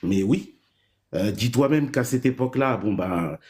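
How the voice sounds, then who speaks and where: A man raised in France telling his childhood story as a guest, halting with many restarts. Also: medium pitch, animated, darkish tone, slight background noise. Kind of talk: personal testimony.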